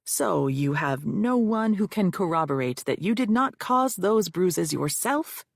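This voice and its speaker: A cold, needling male defense lawyer, read by a woman who grinds out every question in a low, gravelly voice. The sound is close and bone-dry, as in a studio audiobook reading. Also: expressive, a little slow, no echo, heavily acted. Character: aggressive male defense lawyer cross-examining.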